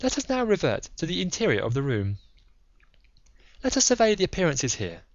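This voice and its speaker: A man voicing an analytical, self-assured gentleman detective in an audiobook, lecturing precisely and emphatically on his deductions. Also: fairly high pitch, wildly swooping pitch, a little fast, no echo, lo-fi sound. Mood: methodical, assured. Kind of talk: dramatized audiobook dialogue.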